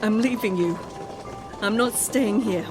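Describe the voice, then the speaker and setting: An actress in a radio drama playing a strong-willed, discontented wife, with a blunt and emphatic delivery. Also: female voice, mid-range voice, measured pace, over music, natural voice. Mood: determined, upset.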